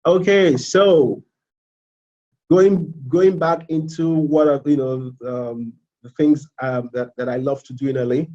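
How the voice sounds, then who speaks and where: A man in his thirties from Nigeria with a Nigerian accent, a student giving a casual live presentation, halting with fillers and repeats. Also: on the high side, fairly even intonation, darkish tone, muffled recording. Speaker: MBA student.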